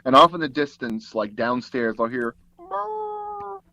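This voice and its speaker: A man's voice: medium pitch, moderate pace, no echo, muffled recording. A man giving a casual interview, telling stories in a rambling way with many restarts, recorded in a small padded closet booth. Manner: rambling, animated storytelling with frequent "like" and repeated false starts. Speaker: interview guest, sound designer and audio drama producer.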